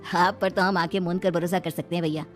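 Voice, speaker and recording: A woman's voice: on the low side, fairly even intonation, fast, faint music behind, close to an everyday voice. A woman voicing a middle-aged husband and father in a cartoon story, hospitable and familiar in manner. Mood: agreeable.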